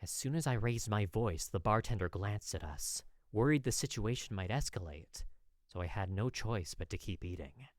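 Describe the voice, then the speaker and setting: A man's voice: fairly low pitch, normal speed, dry recording, clean studio sound. A man giving a theatrical, dramatized first-person narration of a story, written like a journal. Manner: expressive, theatrical. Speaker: voice actor narrating fan fiction.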